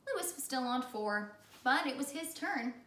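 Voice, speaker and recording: A woman reading a children's story aloud in the animated style of a teacher. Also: high-pitched, measured pace, distant mic. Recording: echoey room.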